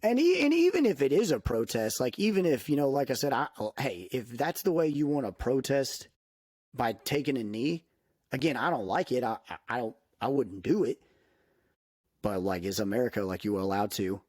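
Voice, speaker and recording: A man of medium pitch co-hosting a casual podcast, speaking with opinionated emphasis. His voice jumps upward when he gets worked up. The sound is dry and close. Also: big pitch swings, normal speed, a little mellow, dry recording. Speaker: podcast co-host. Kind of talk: casual chat.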